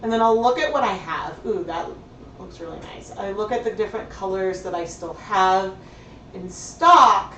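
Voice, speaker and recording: Woman a bit hoarse, chatty livestream host, lots of likes and ums, mic kinda far off in an echoey room. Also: medium pitch, normal speed, pushed and bright, slight background noise. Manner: chatty, thinks aloud, many fillers. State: slightly hoarse. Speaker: livestream host dyeing yarn. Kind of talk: casual livestream tutorial chat. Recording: roomy sound from a distant mic.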